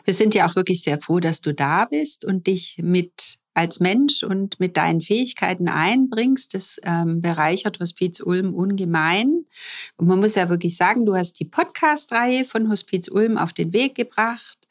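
Lower-voiced woman hosting podcast. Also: normal speed. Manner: warm, formal address with frequent fillers. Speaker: managing director hosting the podcast. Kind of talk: friendly podcast interview.

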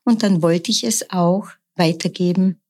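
Middle-aged woman guest; deliberate, calm explainer with a lower voice. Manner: calm, measured, often slow and deliberate. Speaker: nurse and sound bowl practitioner. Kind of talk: explanatory interview answers.

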